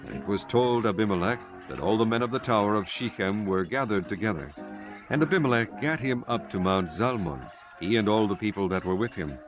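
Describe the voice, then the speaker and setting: A man narrating a dramatized Bible audiobook in measured, solemn storytelling with archaic diction. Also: fairly low pitch. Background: prominent music.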